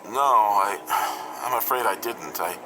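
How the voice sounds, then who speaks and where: An actor playing a lost, inexperienced private pilot, anxious and hesitant, in a radio drama with noise behind him. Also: male voice, fairly low pitch, slow, sharp tone. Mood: sheepish, unsure. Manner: hesitant, stammering. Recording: noisy radio transmission with engine drone.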